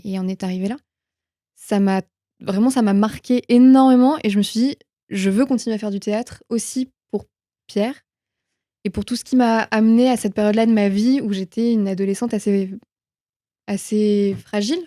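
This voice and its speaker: A woman's voice: mid-range voice, steady tone, fairly quick, mellow, no room sound, clean studio sound. A young woman from France being interviewed, telling personal stories in an informal, reflective way, often correcting herself as she goes. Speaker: interview guest, film production manager. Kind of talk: casual conversational interview, personal anecdotes.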